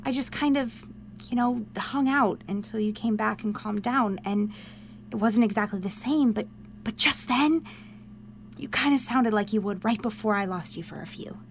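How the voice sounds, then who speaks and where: A young woman playing an excitable, caring, pushy confidante who talks in an informal, earnest, coaxing way in a modern audio drama. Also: a little high, normal speed, a little hiss or hum, close to an everyday voice. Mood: gentle, coaxing.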